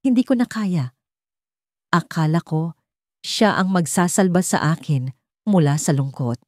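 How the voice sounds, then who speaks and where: A woman narrating a scripted first-person romance story in measured, dramatic short phrases. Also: fairly low pitch, a little mellow, no room sound, clean studio sound.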